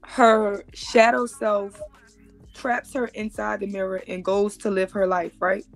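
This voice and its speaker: A woman's voice: mid-range voice, little pitch movement, measured pace, faint music behind. A woman in her thirties, joining a live stream over a video call and explaining things emphatically, often repeating herself. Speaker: guest.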